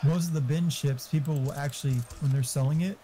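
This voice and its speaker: Very mellow man, rambling in voice chat. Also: on the high side, steady tone, brisk, a little hiss or hum. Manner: rambling, casually profane. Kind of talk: casual gaming voice chat.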